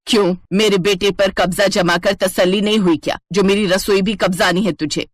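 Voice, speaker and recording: A woman voicing a sharp-tongued middle-aged Indian mother-in-law in a cartoon family drama, taunting and dramatic. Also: mid-range voice, fairly even intonation, moderate pace, dry recording, studio quality, close to an everyday voice. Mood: scornful.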